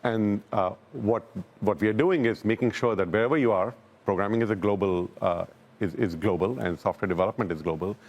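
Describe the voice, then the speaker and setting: A man speaking as a technology company executive in a live business news interview, explaining things calmly. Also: on the low side, fairly quick.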